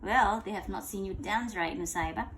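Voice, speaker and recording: A woman reading a picture book aloud to a child in an animated way, pausing to ask questions and repeating phrases. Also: medium pitch, background noise. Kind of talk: interactive bedtime read-aloud.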